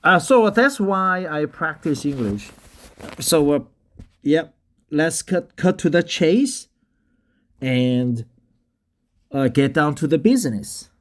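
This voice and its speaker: A man with a Korean accent, a native Korean speaker practicing English in a casual vlog, slightly tipsy and halting, full of hesitation fillers. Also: a little high, animated. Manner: halting, many drawn-out fillers. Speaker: English learner vlogging. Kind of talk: casual talk and reading aloud.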